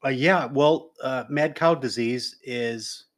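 A man on a casual chat show explaining a topic in a halting way. He pauses and restarts often and fills the gaps with ums and uhs. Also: mid-range voice.

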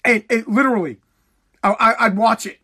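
Heated man ranting, high voice. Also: fairly even intonation, normal speed, bright, forceful tone, slightly rough. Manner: heated, blunt ranting with profanity. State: losing his voice. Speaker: podcast host. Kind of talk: ranting solo podcast.

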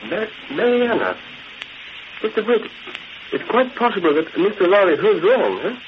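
A high-pitched, animated male valet in an old radio serial, earnest and deferential, speaking clipped broken English. The recording is muffled. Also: moderate pace, a little hiss or hum. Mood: inquisitive. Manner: deferential, clipped foreign-style phrasing. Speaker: valet and sidekick. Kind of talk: 1940s radio acting.

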